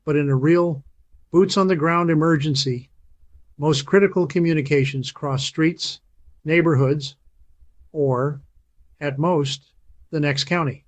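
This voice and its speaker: A man reading a scripted voice-over as channel host, persuasive and emphatic, slowing down to stress key phrases. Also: on the high side, steady tone, no echo.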